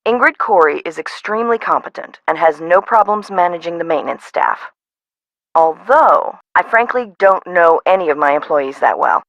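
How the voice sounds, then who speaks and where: Female park boss, game dialogue: lowish pitch, dry close sound, businesslike, hands-off, thinks aloud. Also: expressive, moderate pace, fairly bright tone, no room sound, rough recording. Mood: musing, candid. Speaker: park owner in a mystery game.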